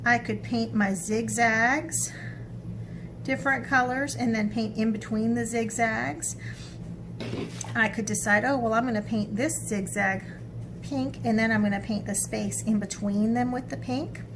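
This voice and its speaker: A woman teaching an art project to children step by step, chatting casually, thinking aloud and checking in with little tag questions, with clear background noise. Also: fairly high pitch.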